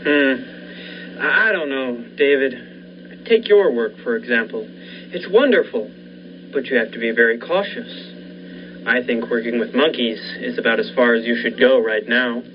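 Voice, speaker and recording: A man's voice: mid-range voice, natural voice. Young man as wary surgeon friend, radio drama, hesitant, morally troubled, dull recording, slight noise. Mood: cautious. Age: young adult. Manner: hesitant, measured. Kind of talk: 1940s radio thriller acting.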